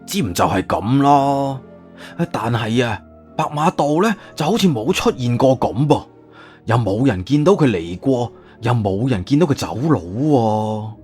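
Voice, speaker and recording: 一個男人用粵語有聲小說嘅演法，扮演一個慌張、囉嗦、急於安撫人嘅博物館館長，講嘢猶豫多口頭禪。. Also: male voice, medium pitch, big pitch swings, measured pace, a little mellow, faint music behind. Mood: sheepish. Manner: hesitant, filler-laden, long-winded. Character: flustered, wordy museum director, eager to placate. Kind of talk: Cantonese audiobook character acting.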